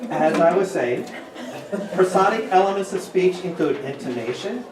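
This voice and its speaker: A man's voice: high-pitched, lively intonation, normal speed. A man performing a pompous, grandiose orator in a readers' theater piece. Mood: lofty. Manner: grandiose, declamatory. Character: pompous, theatrical male orator scorning his interrupters. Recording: distant, echoey, noisy room recording.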